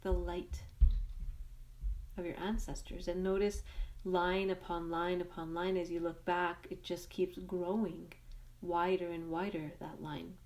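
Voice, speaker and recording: Slow, low-voiced woman guiding a meditation. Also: little pitch movement. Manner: gentle, unhurried phrases with long pauses. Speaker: meditation guide. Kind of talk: guided meditation, slow and soothing.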